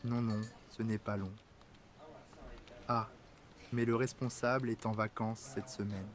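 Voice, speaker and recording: A man reading scripted workplace dialogues for French learners, in a plain, clear way. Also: fairly low pitch, flat delivery, unhurried, natural voice.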